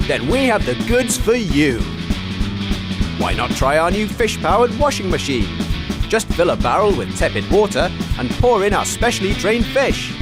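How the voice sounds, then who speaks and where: A man playing a radio host in an absurd comedy show. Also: a little high. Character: flustered, conciliatory male radio presenter.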